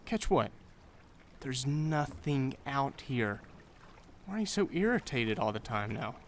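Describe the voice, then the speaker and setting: An actor playing a curious young adult son who keeps pressing with questions in a radio drama. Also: male voice, medium pitch, animated, takes it slowly, slight background noise. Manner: insistent, questioning.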